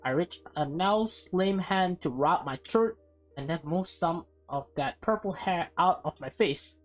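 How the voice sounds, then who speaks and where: Low-voiced woman reading a visual novel aloud. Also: measured pace, faint music behind. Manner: expressive reading broken by offhand commentary. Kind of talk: visual novel read-aloud with casual asides.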